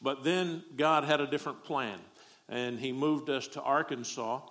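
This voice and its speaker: A man's voice: medium pitch, fairly even intonation, moderate pace, fairly bright tone. A middle-aged man from Texas with a Southern accent, preaching a folksy, joking sermon as a guest preacher.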